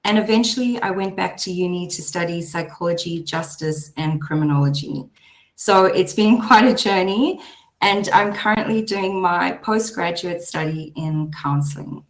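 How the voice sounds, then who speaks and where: A hoarse woman giving a webinar presentation in a chatty way that laughs easily. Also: on the low side, moderate pace. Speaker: presenter, behaviour support practitioner. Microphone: video call. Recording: echoey room.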